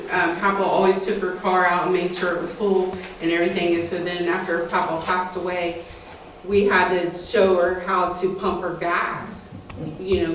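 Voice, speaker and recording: A middle-aged woman shares memories in an informal storytelling way. Her voice rings heavily around a small hall and is picked up from a distance, with faint noise behind it. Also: fairly low pitch. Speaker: speaker sharing family memories. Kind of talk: informal storytelling at a community talk. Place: small meeting hall, indoors.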